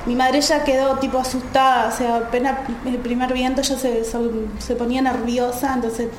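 A young woman with a Uruguayan accent, a student volunteer telling her story in a radio interview, halting with many fillers and restarts. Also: sits high, level tone, echoey room, slight background noise, poor audio. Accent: Uruguayan Spanish. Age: young adult.

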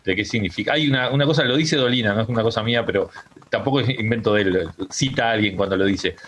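A man's voice: on the low side, quick pace, fairly bright tone, slight background noise. A middle-aged man with a Uruguayan accent, chatting as a radio guest in a loose, rambling way with many restarts. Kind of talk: casual radio interview. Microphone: home microphone on a remote call.

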